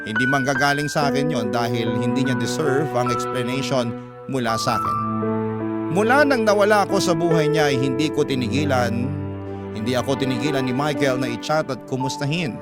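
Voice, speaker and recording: A man's voice: mid-range voice, brisk. A man with a Filipino accent narrating a dramatic first-person story in an emotive, reflective way, as on a radio drama. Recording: loud music bed behind voice. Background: loud background music.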